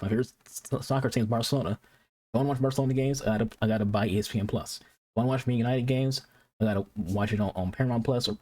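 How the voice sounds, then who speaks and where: A man from Detroit hosts a casual reaction commentary, his low voice husky at the edges. He talks quickly and rambles, restarting sentences and repeating words as thoughts catch up. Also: darkish tone. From Detroit, United States. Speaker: reaction video host.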